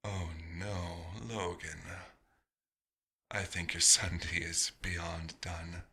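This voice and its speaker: A man voicing a centuries-old vampire doctor, wistful and dryly wry, in a soft-spoken ASMR roleplay with a husky, breathy voice and halting, musing pauses. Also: sits low, little pitch movement, exaggerated voice.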